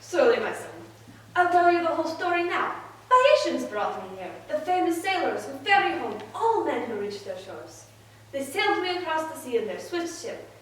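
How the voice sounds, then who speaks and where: A woman's voice: high voice, very animated, moderate pace, voice pushed up. Woman acting a proud hero father, hugely echoey. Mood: resolute. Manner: declamatory, solemn, emphatic. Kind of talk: stage dramatic interpretation of epic poetry. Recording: distant, very echoey room.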